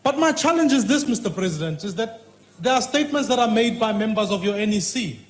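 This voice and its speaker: A man presses the president in a parliament debate, pointed and insistent, his voice pitched high for a man. The chamber rings with echo around him. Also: expressive, quick pace. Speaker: opposition politician questioning the president. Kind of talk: parliamentary questioning.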